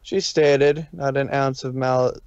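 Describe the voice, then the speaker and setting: A man's voice: mid-range voice, fairly even intonation, takes it slowly, a little mellow, clean tone, dry recording, lo-fi sound. A man reading a story aloud as amateur narrator, sometimes stumbling over the words.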